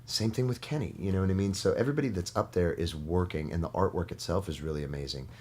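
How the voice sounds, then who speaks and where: A man's voice: low voice, quick pace, darkish tone. A man, a guest performer, chatting casually and explaining his craft in a studio interview with noticeable background noise.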